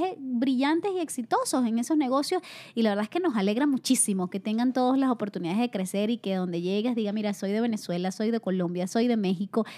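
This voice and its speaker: A woman with a high voice hosts a panel talk. She speaks enthusiastically and turns warmly to each guest with questions. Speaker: host.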